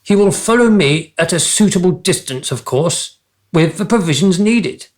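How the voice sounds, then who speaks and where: A man's voice: sits high, animated, moderate pace. A man playing a vain, dim, easily amused king with an imperious manner in a comic radio play. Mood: pompous.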